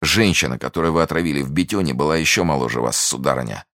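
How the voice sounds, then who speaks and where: A man's voice: a bass voice, fast, fairly bright tone, no room sound, studio quality. A man doing an anguished young musketeer. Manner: pained and agitated.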